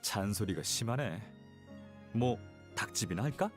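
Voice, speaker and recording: A man's voice: on the low side, lively intonation, racing, natural voice. An actor playing a wistful, brooding young male scholar with dry humour in a game. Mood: dry, playful. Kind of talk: scripted game voice acting. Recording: game music under dialogue.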